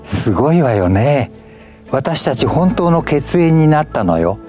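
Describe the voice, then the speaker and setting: An elderly man voicing a weary, tender older wife in a story reading, gentle, feeble and heartfelt. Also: on the high side, big pitch swings, measured pace, faint music behind, pitched above the performer's own voice. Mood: moved, joyful.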